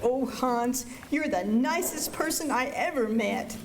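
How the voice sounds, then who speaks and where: A woman playing a high-voiced, sweet young housekeeper. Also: expressive, measured pace. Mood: delighted. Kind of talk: radio drama acting. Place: school auditorium stage. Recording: live stage recording, faint noise.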